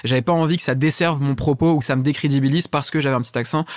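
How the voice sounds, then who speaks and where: A young man from France talks quickly and eagerly as an interview guest. His speech is casual and slangy, full of fillers and restarts. The sound is dry and close, with no room to it. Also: mid-range voice, fairly even intonation, dry recording. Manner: enthusiastic, slangy, many fillers. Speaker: startup founder, guest.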